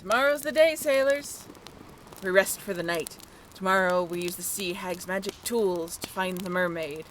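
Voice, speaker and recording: A woman playing a rousing, commanding pirate sailor who rallies a crew in a loud, hearty, declamatory way in an audio drama. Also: lowish voice, moderate pace, a little hiss or hum, natural voice. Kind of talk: scripted roleplay audio drama.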